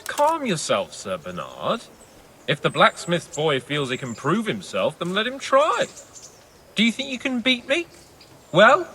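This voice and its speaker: A man's voice: high-pitched, wildly swooping pitch, measured pace, pushed and bright, slight background noise, full character voice. A man playing a haughty, sneering young medieval nobleman in a video game, with a condescending, taunting manner. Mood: challenging. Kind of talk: video game cutscene acting. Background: faint background music.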